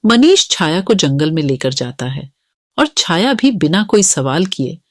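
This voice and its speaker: A woman's voice: fairly low pitch, sing-song, unhurried, dry recording. A woman reading scripted narration for a children's moral story as the narrator.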